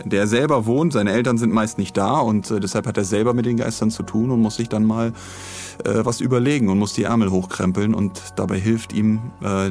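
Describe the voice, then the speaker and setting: Low, creaky-voiced man hosting a podcast. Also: a little mellow. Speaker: podcast host. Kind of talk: casual podcast chat and interviewing.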